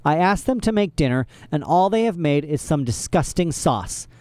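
A man's voice: on the high side, expressive, moderate pace, a little mellow, dry recording, clean studio sound, pitched above the performer's own voice, natural voice. A man voicing a menacing, greedy villain with a scratchy voice in an audiobook.

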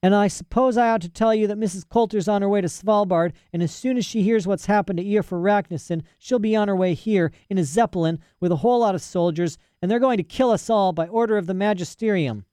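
A man's voice: sits high, fast, few pauses, dark tone, no echo, clean studio sound, pitched above the performer's own voice, natural voice. A man voicing a fierce, tearful young girl, Lyra. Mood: tearful outburst. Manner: rough working-class speech, indignant.

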